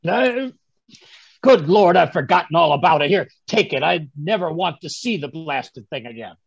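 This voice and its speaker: A man playing a flustered, indignant older gentleman doctor in a radio mystery, husky, gravelly and stammering. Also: on the high side, expressive, moderate pace, full character voice. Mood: grumpy. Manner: stammering, blustering. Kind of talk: old-time radio mystery acting. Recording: dull video-call audio.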